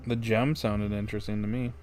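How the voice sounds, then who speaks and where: A woman's voice: a bass voice, level tone, brisk, over music. A woman reacting casually to a game as a streamer, in a playful, teasing way.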